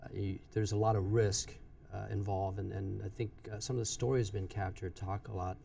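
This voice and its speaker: Male guest from Vietnam, gravelly, dark tone, slightly distant mic. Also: lowish voice, flat delivery, no echo. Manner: hesitant, many "uh" pauses. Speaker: bank manager, guest. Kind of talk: interview answers.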